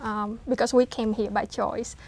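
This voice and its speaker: A woman in her thirties from Vietnam, speaking English as an as an interview guest, hesitant with frequent fillers and soft laughs. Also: on the high side, flat delivery, normal speed, slight background noise. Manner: hesitant, many fillers and restarts, laughs softly. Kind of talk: casual interview talk.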